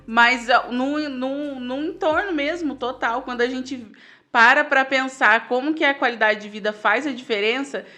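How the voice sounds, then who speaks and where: A woman's voice: high-pitched, moderate pace, pushed and bright. Friendly woman host. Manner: friendly, encouraging, light laughs. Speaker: podcast host. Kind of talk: casual interview chat.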